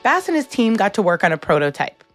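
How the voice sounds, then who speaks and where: A woman's voice: medium pitch, big pitch swings, fast talker. Woman narrating a documentary over music. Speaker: podcast narrator and host.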